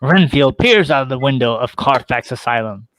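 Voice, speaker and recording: A man's voice: mid-range voice, expressive, normal speed, fairly bright tone. A man narrating a horror play, reading stage directions in a terse, theatrical way.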